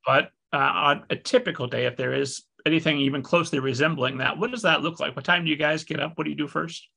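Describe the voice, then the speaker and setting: A man hosts an author podcast with a bright, forceful voice that runs gravelly and husky. He addresses his listeners in a playful, mock-formal way, and his pitch sits a little high for a man. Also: fast. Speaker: podcast host. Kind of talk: upbeat podcast interview.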